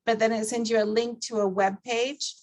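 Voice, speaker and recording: A woman presenting an informal software walkthrough to a class, explaining things step by step in a conversational way. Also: a little high, steady tone, normal speed.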